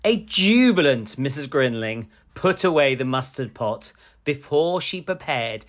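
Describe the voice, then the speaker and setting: Slow, low-voiced woman reading a storybook. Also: lively intonation, dull audio. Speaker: storybook reader. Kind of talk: read-aloud children's story narration.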